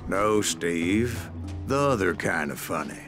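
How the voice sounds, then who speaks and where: An actor playing an old gunslinger in a Western video game, spinning tall tales in folksy frontier slang. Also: male voice, sits low, expressive, slow pace, full character voice. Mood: wry, measured. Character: old male Wild West gunslinger telling his tall tales. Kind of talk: game voice acting, narrated yarn. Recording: music under the voice.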